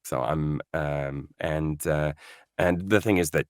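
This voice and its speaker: A man's voice: very deep voice, slow pace, clear, resonant tone, no echo, clean studio sound. A man from Norway, a voice actor guest, chatting in an interview, thoughtful and full of fillers and restarts. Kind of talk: casual interview chat.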